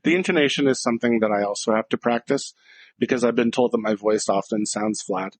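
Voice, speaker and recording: Brief: a man of medium pitch, a blunt and direct podcast co-host, dry close sound. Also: expressive, fairly quick, slightly rough, no echo. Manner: direct and blunt, acting out little dialogues in different voices. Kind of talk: casual conversational podcast.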